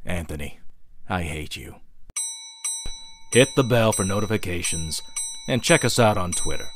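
A man voicing a grumpy, excitable spider demon gangster in an animated comedy skit. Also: deep voice, normal speed, noisy background. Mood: exasperated. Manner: irritable, excitable, swearing.

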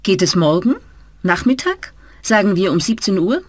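A businesslike travel-agency clerk. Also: female voice, mid-range voice, big pitch swings, normal speed, no room sound. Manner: polite and businesslike. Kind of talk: scripted language-course dialogue.

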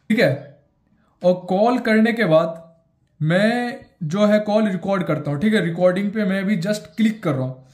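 A man's voice: high-pitched, a little mellow. A man in his twenties with an Indian accent, explaining phone steps like a tutorial host, repeating himself for emphasis. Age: 20s. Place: small bare indoor room. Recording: echoey bare room.

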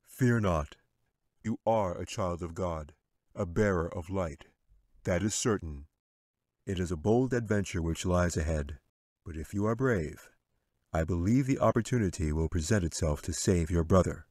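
A man's voice: low voice, a little mellow, no echo, studio quality. A man doing a solemn wise angel. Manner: measured solemn delivery. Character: calm wise male angel, solemn and gentle. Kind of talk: audiobook character reading.

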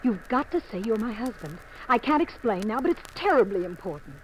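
An exotic young woman in an old radio mystery, begging urgently. Her voice is rather high and swings widely in pitch, over a noisy vintage recording. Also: big pitch swings, brisk. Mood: urgent pleading. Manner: urgent, pleading. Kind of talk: 1950s radio drama acting.

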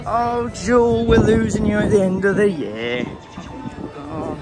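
Excitable young man vlogging at a theme park. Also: high-pitched, slow. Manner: excitable, repeats phrases, laughs often. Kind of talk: casual theme park vlog. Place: outdoors at a busy theme park. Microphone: phone held at arm's length. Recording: music and crowd noise behind.

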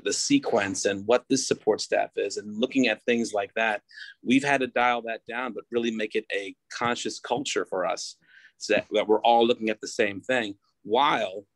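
A man in his forties on a video-call panel, talking haltingly and repeating phrases as a restaurant operator. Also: on the low side, no echo, poor audio. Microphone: phone at arm's length on video call.

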